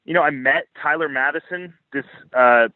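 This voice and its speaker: A man's voice: on the high side, moderate pace, dry recording, phone-quality sound. A man speaking as a podcast guest, giving casual, opinionated financial commentary in blunt, informal language with swearing.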